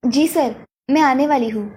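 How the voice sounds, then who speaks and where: Woman acting a high-voiced, protective big sister. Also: unhurried, darkish tone. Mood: polite. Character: protective, sharp-tongued college-age older sister. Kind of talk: Hindi animated moral story dialogue.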